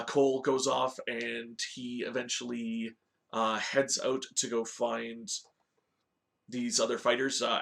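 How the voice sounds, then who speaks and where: A man with a mid-pitched, creaky voice hosts a review in a casual, informal style. He talks conversationally, as if chatting to regular viewers, with a noticeable gravelly fry in his delivery. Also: a bit bright. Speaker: host of a video game movie review show. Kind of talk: casual review talk with story recap.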